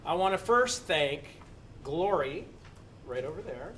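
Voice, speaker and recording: A man's voice: on the high side, expressive, measured pace, a bit bright, recorded from a distance. A middle-aged man gives an earnest, slightly rambling presentation to a community meeting in a school building. He sits some way from the microphone, and a faint hiss runs under his voice. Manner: earnest, thankful, a little rambling with restarts. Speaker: committee member presenting.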